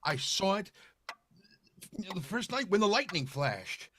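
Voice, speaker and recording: An actor playing a haunted, nervous widower in old-time radio horror style, halting and stammering with agitation. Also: male voice, sits high, very animated, bright, forceful tone, a little hiss or hum, full character voice. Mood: eerie insistence. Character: haunted, nervous, grieving widower desperate to sell.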